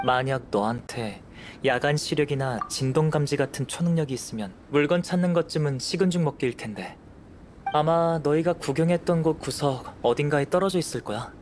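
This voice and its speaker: A man playing a calm, curious young alchemist in an anime game, speaking in a composed, measured, politely detached way. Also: on the high side, slight background noise, natural voice. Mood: analytical, calm. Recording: studio voice over game music.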